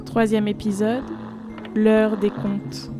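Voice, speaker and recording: A woman's voice: medium pitch, level tone, slow pace, loud music behind the voice. A woman announcing a scripted radio drama programme as a jingle.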